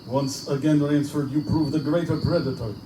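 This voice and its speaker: An actor playing a suave, aristocratic villain who hunts, speaking formally and condescendingly in live pulp-drama style. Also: male voice, a little high, steady tone, normal speed, heavy background noise, lightly acted. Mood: amused, courtly. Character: suave aristocratic hunter general, gloating and courtly villain. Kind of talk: live pulp radio-play acting. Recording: echoey live venue, distant mic, music behind.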